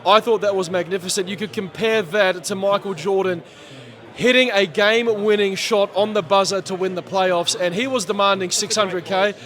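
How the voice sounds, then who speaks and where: High-voiced hoarse MC. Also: male voice, moderate pace. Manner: animated, chatty, laughs often. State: losing his voice. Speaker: MC, guest. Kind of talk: post-fight reaction interview. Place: sports bar at a casino.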